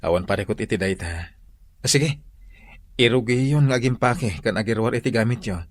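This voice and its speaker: A man's voice: medium pitch, normal speed, dry recording, close to an everyday voice. Man playing a reassuring Filipino newlywed husband. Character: agreeable newlywed husband, reassuring and dutiful son. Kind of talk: radio drama acting. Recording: faint music under the voice.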